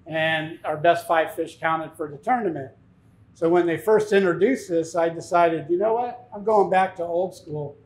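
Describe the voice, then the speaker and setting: A man in his fifties giving an informal, plain-spoken seminar talk as a fishing captain, telling anecdotes. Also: a little high. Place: tackle shop, indoors, speaking to an audience. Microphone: lapel mic. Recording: echoey shop room.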